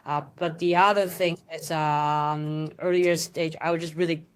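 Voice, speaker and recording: Lower-voiced woman from Hong Kong. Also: fairly even intonation, measured pace, clear, resonant tone. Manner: thoughtful, frequent fillers and restarts. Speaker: interview guest. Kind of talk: casual conversational interview.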